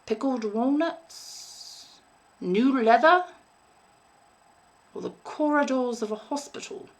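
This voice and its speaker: A young woman, an English teacher, reading a short story aloud in an expressive narrating style. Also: high-pitched, slow pace. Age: young adult.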